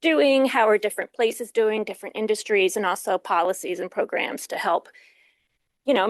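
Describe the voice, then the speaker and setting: A woman researcher talking on a conference panel in a measured way, with a bit of dry wit. Her voice is at an average pitch and sounds close and dry. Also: dry recording. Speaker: think tank researcher, panelist. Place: conference forum.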